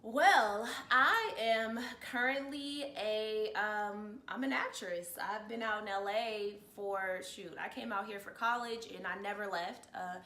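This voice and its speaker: A woman's voice: medium pitch, measured pace, few pauses, sharp tone. A woman of thirty-one talking casually about herself as an interview guest. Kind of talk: casual personal chat. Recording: echoey room with faint noise.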